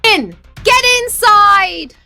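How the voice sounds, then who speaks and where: A woman voicing a terrified teenage girl in a slasher story, shouting urgently. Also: very high voice, sing-song, drawn-out words, creaky, soft background music, pitched above the performer's own voice, heavily acted. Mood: panicked.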